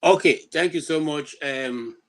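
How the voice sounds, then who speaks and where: A man's voice: fairly high pitch, measured pace, fairly bright tone. A man with a Nigerian accent hosting a talk show and arguing heatedly, insistent and indignant, often repeating himself. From Nigeria, Igbo-speaking southeast. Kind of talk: heated panel argument.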